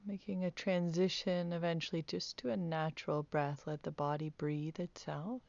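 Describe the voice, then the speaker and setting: A woman teaching guided meditation, speaking softly with calm, gentle instructions and long pauses. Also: fairly low pitch, gravelly, dry recording, muffled recording.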